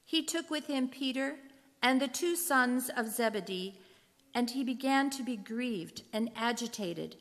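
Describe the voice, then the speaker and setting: Female narrator, fairly high voice, measured scripted scripture reading in a reverberant hall. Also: a bit bright.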